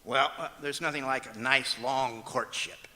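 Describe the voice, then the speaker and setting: A man's voice: medium pitch, steady tone, unhurried, pushed and bright. A man playing a patient, wry straight-man husband in an old-time radio comedy, dry and explanatory. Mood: wry, deadpan. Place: large auditorium stage, live performance. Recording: echoey auditorium stage recording.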